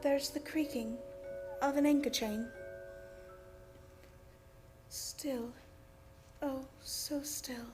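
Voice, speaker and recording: An actress playing a troubled young woman under a vampire's spell in a radio drama, dreamy and halting. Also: high voice, fairly even intonation, music in the background. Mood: entranced. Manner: hushed, halting, trancelike. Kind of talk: old-time radio drama acting.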